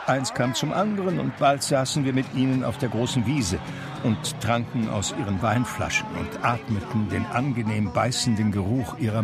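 An actor playing an aging man who recounts his memoir in a measured, reflective literary manner for a radio drama. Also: medium pitch, close to an everyday voice. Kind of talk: literary first-person radio drama narration.

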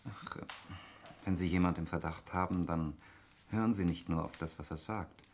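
An actor playing a cool, sardonic, probing man in a 1960s German radio play, deliberate and insinuating in manner. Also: sits low, lightly acted. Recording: faintly noisy 1960s radio recording.

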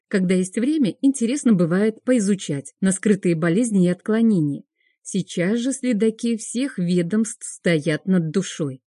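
A woman's voice: medium pitch, warm tone, no room sound, clean studio sound. A woman reading a scripted first-person story as an audiobook narrator.

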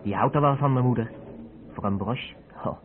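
A man acting an eager, naive young driver's mate in a Dutch radio play, boyish and excitable. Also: mid-range voice, moderate pace, crowd noise, close to an everyday voice. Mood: dreamy, wistful. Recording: tinny old radio recording, busy café noise.

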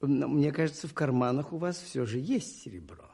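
Husky, mild little priest. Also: male voice, medium pitch, expressive. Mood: polite, sly. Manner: polite, unhurried, quietly ironic. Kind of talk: 1980s Soviet radio play acting.

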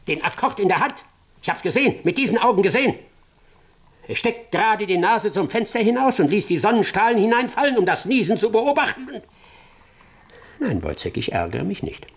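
Pompous, husky doctor with wildly swinging pitch. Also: male voice, heavily acted. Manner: pompous, declamatory, repeats phrases emphatically. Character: pompous, fanatical male doctor and scientist, excitable and scolding. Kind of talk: German radio drama acting.

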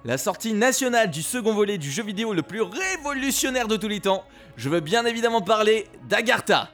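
An actor playing an excitable, gushing male presenter of a video game radio show in an audio drama. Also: sits high, fairly quick, faint music behind. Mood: excited, welcoming.

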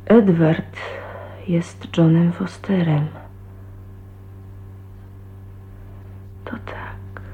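An actress playing a shy, heartbroken young woman in a radio drama, often murmuring to herself in a hushed, breathy way. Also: medium pitch. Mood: stunned, resigned. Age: young adult. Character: shy, heartbroken 29-year-old woman leaving her husband. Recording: tinny, band-limited 1980s radio recording, hissy.

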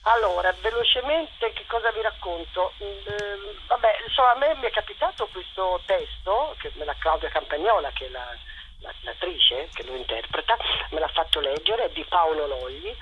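A woman interviewed on radio as a theatre director, talking effusively in a halting, digressive storytelling way. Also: on the low side, expressive, fairly bright tone. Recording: dull, noisy radio phone line.

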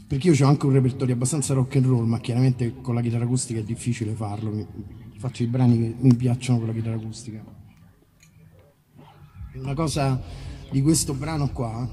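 A man's voice: medium pitch, mellow. A man, a musician, talking casually between songs in a rambling, informal, self-interrupting way at a live concert. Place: live outdoor festival concert in a park. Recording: live concert, long reverb, faint noise.

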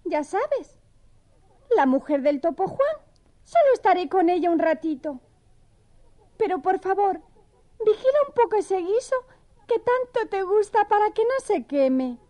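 Dainty lady mouse, extremely high squeaky pitch, slow, prim, coquettish, storybook. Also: sing-song, dark tone, full character voice. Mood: affectionate, instructing. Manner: prim, sweetly affected. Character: dainty, coquettish, polite young female mouse. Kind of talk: children's audio story acting.

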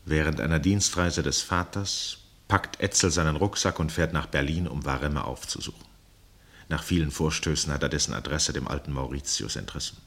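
Very deep-voiced male narrator. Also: vocal fry, fairly bright tone. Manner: measured, sober, literary. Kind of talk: scripted narration for radio drama.

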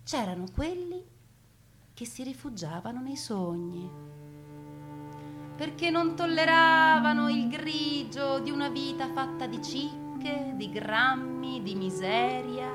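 A woman's voice: high-pitched, slow, over music. A woman performing a theatrical narrated monologue, emphatic and rhythmic, stretching out words in lists. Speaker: stage actor and narrator.

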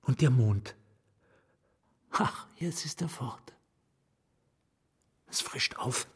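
An actor playing a melancholy asylum patient in a radio drama, confiding in short, broken, wistful phrases. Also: male voice, medium pitch, animated, drawn-out words, slightly rough, in a whisper, full character voice. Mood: wistful, resigned.

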